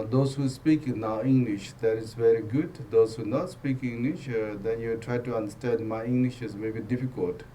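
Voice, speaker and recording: A man with a Tibetan accent giving a Buddhist teaching in simple, broken English, repeating phrases and joking gently. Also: on the low side, a little hiss or hum, low-quality recording. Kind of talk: dharma teaching talk.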